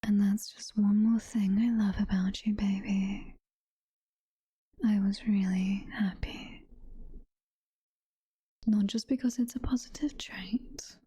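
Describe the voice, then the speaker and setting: A woman playing a doting, possessive demon mommy girlfriend in an ASMR roleplay, whispering softly and tenderly with a British accent. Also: medium pitch. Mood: tender, grateful. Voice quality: breathy, soft-spoken whisper. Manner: slow, tender, coaxing. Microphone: close ASMR microphone.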